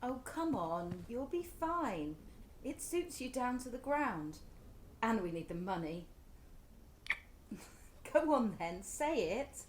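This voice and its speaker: Actress as animated, high-voiced fretful English mother. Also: takes it slowly, long pauses, echoey room, a little hiss or hum. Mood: teasing. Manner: animated, fussing, chiding. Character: excitable, fretful, teasing middle-aged mother and wife. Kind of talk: radio play acting.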